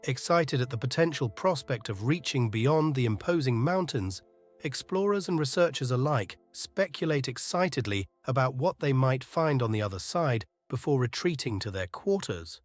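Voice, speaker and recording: A man reads scripted audio drama narration. His mid-pitched voice tells the story in an atmospheric, literary way, with faint music behind it. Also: expressive, moderate pace.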